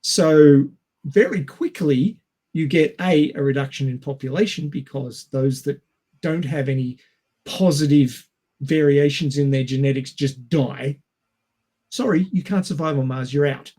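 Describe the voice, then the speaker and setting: Man explaining things, slightly high voice. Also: a little slow, dark tone. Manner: didactic, explains step by step. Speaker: podcast panellist. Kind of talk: casual explanatory discussion.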